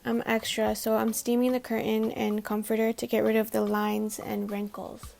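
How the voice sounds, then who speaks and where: A woman's voice: a little high, completely flat delivery, normal speed, gravelly, darkish tone. A woman whose first language is Tamil gives a casual, chatty, self-deprecating voice-over for a home makeover video. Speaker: DIY home makeover vlogger. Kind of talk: scripted voice-over narration. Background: faint background music.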